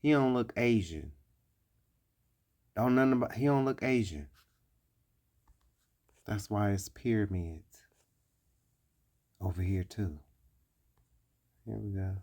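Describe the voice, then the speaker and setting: Slow, dark-voiced man explaining. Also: lowish voice. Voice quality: deliberate, repeats points. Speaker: commentator. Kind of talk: explanatory commentary over images.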